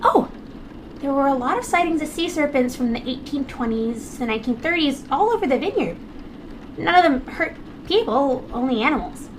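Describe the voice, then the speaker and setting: Woman as high-voiced, eager, curious teen girl. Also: lively intonation, brisk, noisy background. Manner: chatty, earnest, easily fascinated. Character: bright, curious, eager teenage girl researcher. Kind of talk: audio drama dialogue.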